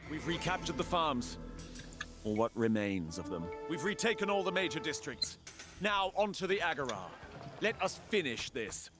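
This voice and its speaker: An actor playing a commanding, vengeful Persian general in a video game, declaiming and barking urgent orders. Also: male voice, fairly high pitch, very animated, normal speed. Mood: vengeful, scornful. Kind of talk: dramatic video game voice acting. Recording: dramatic music behind the voice.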